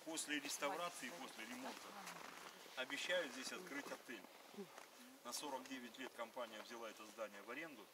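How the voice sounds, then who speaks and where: A man's voice: mid-range voice, fairly quick. A man working as a tour guide, talking to his group outdoors. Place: outdoors in a snowy park. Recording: very noisy outdoor recording, off camera.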